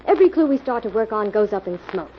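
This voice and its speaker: An actress playing a detective's brisk, inquisitive assistant in an old radio mystery, with crisp delivery. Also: female voice, mid-range voice, animated, natural voice. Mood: keen, puzzled. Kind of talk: 1940s radio mystery acting. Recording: muffled, noisy old-time radio recording.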